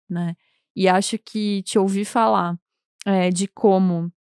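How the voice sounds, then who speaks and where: Woman host with a smooth, dry voice. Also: medium pitch, a little mellow, no room sound. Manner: thoughtful, appreciative. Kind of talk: conversational discussion.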